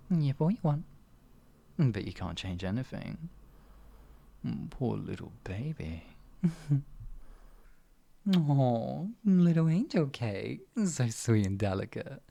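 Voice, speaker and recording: A man voicing a sadistic male wizard in an ASMR fantasy roleplay, cruel yet doting, teasing and cooing with soft whispers. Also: medium pitch, creaky, heavily acted. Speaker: voice actor.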